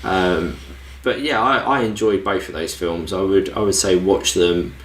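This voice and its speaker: Low-voiced man chatting in an echoey room. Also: measured pace. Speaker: podcast host. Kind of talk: casual film discussion.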